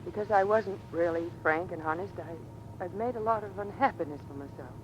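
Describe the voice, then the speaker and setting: An actress plays a troubled wife of a naval skipper in an old radio drama. She speaks with earnest, halting emotion. The recording is noisy, with engine rumble behind her. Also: natural voice. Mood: anguished, confessional. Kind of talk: vintage American radio drama acting.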